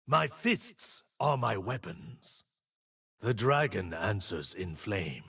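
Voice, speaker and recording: A stern martial monk from a video game, husky and forceful. He speaks with slow, solemn discipline, and his pitch moves widely between grave wisdom and battle grit. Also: very animated, exaggerated voice. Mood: solemn resolve. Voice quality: gritty. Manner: solemn, measured, wise. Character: stern, disciplined blind martial monk bearing a dragon spirit. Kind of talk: video game character voice lines.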